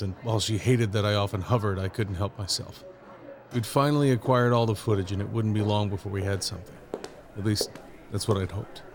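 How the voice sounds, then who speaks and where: A man's voice: on the low side, lightly acted. A man playing a demanding, wry task force leader in a scripted audio drama, in dialogue and narration.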